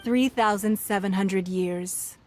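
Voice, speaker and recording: An actress playing a poised, shrewd businesswoman who leads a fantasy city, speaking in a measured, formal, diplomatic way in a game. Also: medium pitch, slight background noise, lightly acted. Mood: composed, diplomatic. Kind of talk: scripted fantasy game dialogue. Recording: music under the voice.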